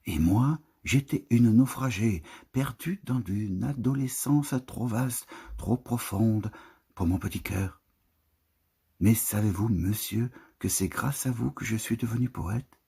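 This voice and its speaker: A man with a husky, breathy voice reads poetry in a solemn, lyrical manner, lingering over the phrases of a literary text. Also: lowish voice, takes it slowly, dark tone. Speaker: poetry reader. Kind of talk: literary poetry recitation, scripted narration.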